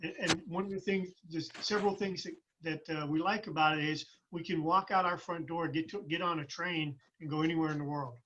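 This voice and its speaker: A man in his fifties speaks reflectively as a guest, often restarting his sentences. His voice is somewhat high, bright and husky. The audio comes through dull and lo-fi. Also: steady tone, brisk, hardly any pauses. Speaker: podcast guest, military retiree. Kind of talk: casual conversation.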